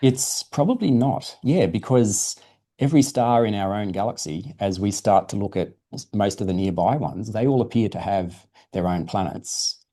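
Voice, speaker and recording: A man's voice: on the low side, normal speed, warm tone. A man presenting science, answering questions informally in an encouraging, explanatory way for a young audience.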